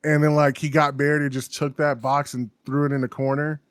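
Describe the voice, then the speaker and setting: A man's voice: a little high, little pitch movement, brisk, clear, resonant tone, no room sound, studio quality. A man co-hosting a podcast, rambling through stories with lots of fillers and swearing. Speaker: podcast co-host. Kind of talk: casual chat.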